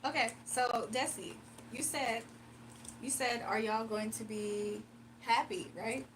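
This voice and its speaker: A woman's voice: on the high side, fairly even intonation, slow, a little hiss or hum, low-quality recording. A woman hosting a live stream, chatting casually with viewers and doing card readings, her voice a little strained from nearly losing it. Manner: chatty, reads out viewer comments, laughs often. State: slightly strained, recovering voice. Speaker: livestream card reader.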